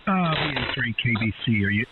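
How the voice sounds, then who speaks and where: A man's voice: mid-range voice, lively intonation, brisk. A man working as an amateur radio operator, heard over a radio link in casual, hesitant, broken phrases. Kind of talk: casual ham radio exchange. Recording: narrow radio link, very loud static, clicks.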